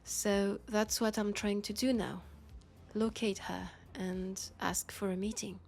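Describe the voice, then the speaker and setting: A woman with a French accent playing a weary, guarded policewoman confiding quietly and hesitantly in an intimate sci-fi audio roleplay. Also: medium pitch, fairly even intonation, takes it slowly, vocal fry, faint music behind. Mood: serious, resigned.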